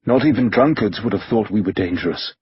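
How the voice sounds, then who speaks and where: A man doing a clever, dry elderly gentleman. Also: fairly low pitch, fairly quick, breathy edge, no echo, highs cut off. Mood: calm. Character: short elderly Victorian gentleman, calm and clever.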